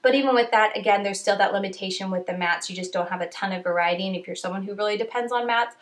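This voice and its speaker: A woman's voice: medium pitch, quick pace. A young woman with a stuffy nose from a cold, chatting casually through a makeup review. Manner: chatty, rambling with many "like"s. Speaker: beauty vlogger.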